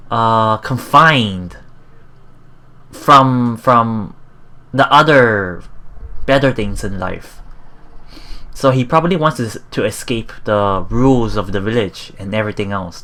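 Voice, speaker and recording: A let's play host reading a visual novel aloud in a halting way, with casual, musing commentary. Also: mid-range voice, sharp tone. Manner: halting, musing, repeats phrases.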